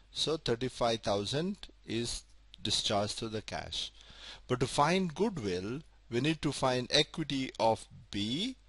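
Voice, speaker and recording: A man giving a recorded accounting lecture, methodical and slow to stress key points, often repeating them. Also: medium pitch, a bit bright, no room sound.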